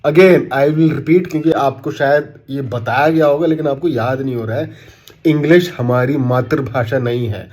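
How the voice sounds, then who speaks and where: A man's voice: mid-range voice, normal speed, darkish tone. A man with an Indian accent ranting to camera in an opinion vlog, indignant and sarcastic, mixing Hindi with English. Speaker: commentator.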